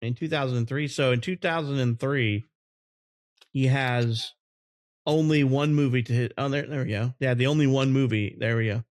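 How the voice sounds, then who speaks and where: A man's voice: medium pitch, normal speed, no room sound, clean studio sound. A man co-hosting a podcast, chatting casually and reading out facts about movies. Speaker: podcast co-host.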